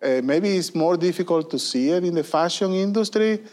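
A man's voice: high voice, lively intonation, moderate pace, darkish tone. A man, a guest answering interview questions earnestly, with many fillers and tag questions. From Venezuela. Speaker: guest, industry consulting leader.

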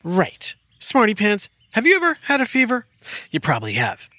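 A woman's voice: medium pitch, huge pitch swings, normal speed, pushed and bright, no echo. A woman voicing a friendly kids' show narrator with a stuffed-up nose from a bad cold, quizzing listeners, recorded dry in a studio. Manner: quizzing kids with multiple-choice questions.

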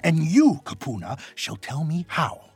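A man's voice: on the high side, huge pitch swings, measured pace, vocal fry, darkish tone, slightly rough, no room sound, faint music behind, heavily acted. A man playing a proud, defiant young demigod who boasts and stammers when caught out.

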